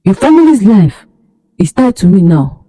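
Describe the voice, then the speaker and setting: A woman voicing a malicious dark spirit that taunts and threatens. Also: mid-range voice, sing-song, normal speed. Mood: menacing. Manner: menacing, taunting. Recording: long hall reverb.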